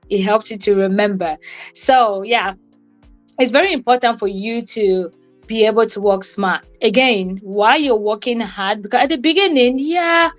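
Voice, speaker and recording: A woman's voice: medium pitch, muffled recording. Young woman over music. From Cameroon. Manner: conversational, repeats phrases for emphasis. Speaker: co-host and guest speaker on a livestream. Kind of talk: informal motivational talk.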